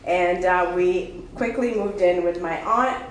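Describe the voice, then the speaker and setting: A woman from Ethiopia giving an unprepared personal testimony at a recovery meeting, halting and candid with many fillers and restarts. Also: fairly low pitch, slight background noise. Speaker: speaker sharing her story at a recovery meeting. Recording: echoey room with faint ambience.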